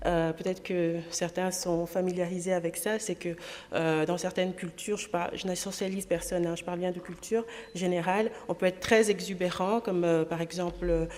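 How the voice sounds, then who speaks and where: Woman from Congo, speaking at a conference, halting and pragmatic, reverberant hall. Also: on the low side, flat delivery, a little mellow. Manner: pragmatic, many hesitations. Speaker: association president and trainer. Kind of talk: conference talk.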